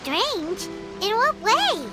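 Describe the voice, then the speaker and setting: A woman voicing a tiny, candid, childlike fairy companion with a squeaky voice in a video game. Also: sing-song, drawn-out words, exaggerated voice. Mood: curious, puzzled. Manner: refers to self in third person, inquisitive. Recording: loud game music underneath. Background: loud music.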